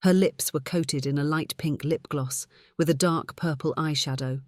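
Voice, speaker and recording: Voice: medium pitch, steady tone, moderate pace, a little mellow, dry recording, studio quality. A narrator reading scripted fiction for an audiobook.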